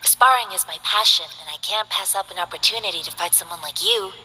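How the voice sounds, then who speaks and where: A woman's voice: medium pitch, big pitch swings, fairly quick, slight background noise, poor audio. An actress playing a composed, earnest young female Taoist martial artist in a game. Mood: eager. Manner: composed, earnest, gently formal.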